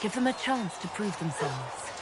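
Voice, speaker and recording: An actress playing a composed, diplomatic heroine in a fantasy video game, speaking politely in a measured, direct way. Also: female voice, mid-range voice, very animated, loud music behind the voice, natural voice. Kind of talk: dramatic video game dialogue.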